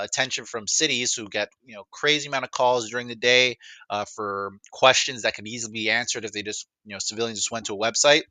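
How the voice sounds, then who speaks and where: A man's voice: medium pitch, pushed and bright, no echo. A man giving a casual, unscripted demonstration, often breaking off and repeating words as he goes. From Canada, greater Toronto area. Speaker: presenter of a product demo, acting as a caller.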